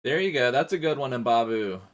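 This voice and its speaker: A man's voice: medium pitch, animated, a little fast. A man streaming a football video game live, chatting casually in a rambling way and thanking viewers as he plays.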